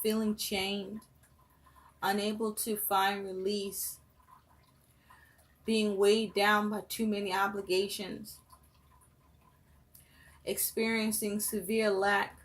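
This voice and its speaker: A woman giving a tarot reading for her viewers, listing phrase after phrase in a slow, measured way. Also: medium pitch, little pitch movement, rough recording. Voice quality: voice rough and breaking, coughing. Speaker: tarot reader.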